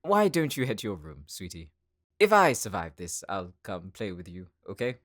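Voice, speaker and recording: A man's voice: sits low, huge pitch swings, normal speed, fairly bright tone, full character voice. A man playing an affable, evasive father in an anime audio roleplay, polite, hesitant and placating. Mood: affectionate. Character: affable, evasive young father and weapon maker.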